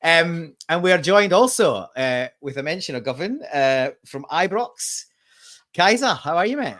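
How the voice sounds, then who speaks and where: A man's voice: on the high side, expressive, a little slow, fairly bright tone. A man in his forties hosting a fan podcast over a video call, chatty and jokey, with plenty of ums. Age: 40s. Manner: chatty, jokey, lots of fillers. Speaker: podcast host. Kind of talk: casual chat.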